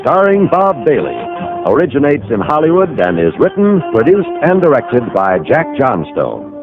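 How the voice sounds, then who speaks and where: Formal old radio announcer. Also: male voice, a little high, wildly swooping pitch, moderate pace. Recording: tinny old radio recording with music.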